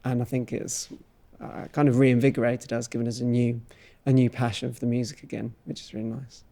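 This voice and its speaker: A man's voice: medium pitch, flat delivery, a little fast, vocal fry, mellow. A man in his thirties, a touring musician, talking casually and reflectively in an interview. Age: 30s.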